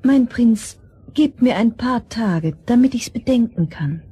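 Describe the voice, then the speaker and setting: A woman playing a modest, hesitant, gentle young maiden in a fairy-tale audio play. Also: fairly high pitch, animated, measured pace, very noisy. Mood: shy, hesitant. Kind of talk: vintage fairy-tale radio play acting.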